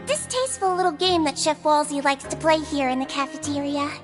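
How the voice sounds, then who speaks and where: An actress voicing a petite, gentle, girlish nonhuman head nurse in a video game, polite and caring. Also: high-pitched, expressive, brisk, music in the background. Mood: gently chatty.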